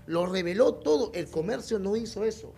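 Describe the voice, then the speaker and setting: A man's voice: high-pitched, lively intonation, a little fast, vocal fry, mellow, slight background noise. A man with a Peruvian Spanish accent giving heated, casual political commentary in a blunt, mocking, sweary way. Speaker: political show panelist.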